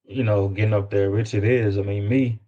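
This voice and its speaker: A man's voice: lowish voice, steady tone, brisk, dull audio. A man chatting casually as an interview guest, informal and often repeating words as he searches for phrasing. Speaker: guest, independent rapper. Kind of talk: casual chat.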